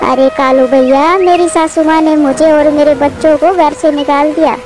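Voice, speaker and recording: A woman voicing a gentle, helpless young mother bird with a plaintive manner in a moral-story cartoon. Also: high voice, steady tone, moderate pace, slight background noise, close to an everyday voice. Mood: distressed, pleading. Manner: pleading, plaintive.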